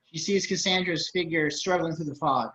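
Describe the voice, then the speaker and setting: A man's voice: a little high, normal speed, sharp tone, slight background noise. A man reading scripted action narration and stage directions over a video call. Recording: dull video-call audio.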